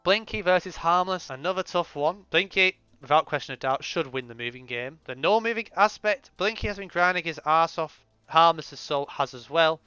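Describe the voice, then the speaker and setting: A man's voice: fairly high pitch, normal speed, few pauses, sharp tone, clean tone, dry recording. A man giving casual spoken predictions as a video creator, hedging and restarting, his voice worn from recent illness. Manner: chatty, hesitant restarts, hedging opinions. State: recovering from illness, voice going. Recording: slightly dull lo-fi sound, faint music.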